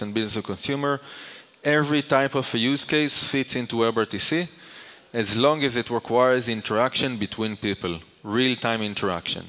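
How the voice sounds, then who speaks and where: A man's voice: mid-range voice, fairly bright tone. Man presenting in a reverberant hall. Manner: explanatory, poses questions then answers them. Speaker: conference speaker. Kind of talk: conference presentation to an audience. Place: large conference hall. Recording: reverberant hall, long echo.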